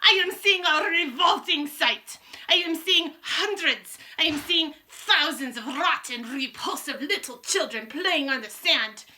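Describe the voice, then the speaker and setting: A woman's voice: high-pitched, expressive, a little slow, bright, forceful tone, a little hiss or hum, voice pushed up, exaggerated voice. A woman voicing a furious, screaming old witch ruler giving orders to her followers. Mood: shrieking. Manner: screaming, harsh foreign-tinged commands.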